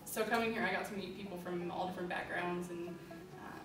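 A woman's voice: on the low side, steady tone, a little fast. A young woman, a former student, speaking casually and reflectively in a classroom interview. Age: young adult. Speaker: alumna. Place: classroom with windows. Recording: echoey, distant, guitar music.

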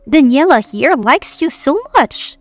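A woman's voice: high voice, animated, slow pace, no room sound, faint music behind, voice pushed up. A woman voicing a gushing, scheming middle-aged rich mother in an audio romance story, drawing out her words. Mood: breezy, insistent.

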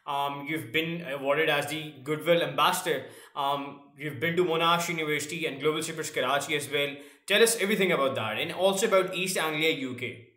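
A man hosting an interview, encouraging his guest and filling pauses with "you know.". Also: on the high side, bright, forceful tone. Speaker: host. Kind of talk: interview host. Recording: roomy echo.

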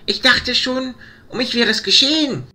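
A woman's voice: on the high side, moderate pace, bright, forceful tone, soft background music. A woman playing a brave but puzzled human male hero in a fantasy audio drama, speaking in an earnest, wondering way. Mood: puzzled, reflective.

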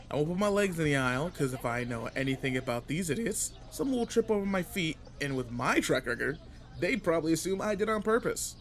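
Man acting as teenage delinquent boy, slightly high voice, cocky, irritable, slangy teasing, roleplay audio. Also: a little hiss or hum, close to an everyday voice.

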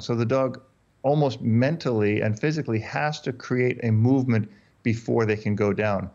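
German-born man, low voice, dull call audio. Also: a little mellow. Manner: explanatory, repeats words and restarts often. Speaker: dog trainer. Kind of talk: interview guest on a video call. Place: dark room indoors. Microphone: headphones and desk mic on a video call.

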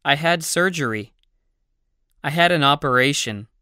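A narrator reading numbered phrases for a language-learning audiobook, slowly and evenly for learners. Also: mid-range voice, expressive, sharp tone, clean tone, no room sound, studio quality. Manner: slow, evenly spaced delivery of numbered phrases.

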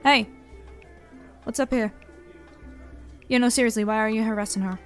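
A woman's voice: fairly high pitch, steady tone, normal speed, soft background music, natural voice. A woman voicing an elven tavern keeper in an ASMR roleplay, familiar and teasing with the listener, protective and tough. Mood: firm, scolding. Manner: familiar, teasing, uses pet names.

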